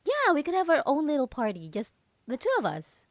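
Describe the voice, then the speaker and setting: A possessive yandere roommate, played by a woman in an ASMR audio drama, speaks in a dry, close sound. She is falsely sweet and anxiously controlling, coaxing in halting phrases with a nervous air of innocence. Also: high-pitched, wildly swooping pitch, no echo, exaggerated voice.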